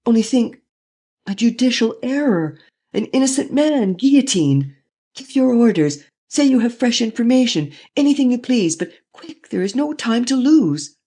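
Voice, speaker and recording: Gentleman thief, commanding, urgent, forceful, read by an audiobook narrator. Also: mid-range voice, normal speed, lightly acted. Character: daring gentleman thief, man, middle-aged, commanding. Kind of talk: audiobook reading.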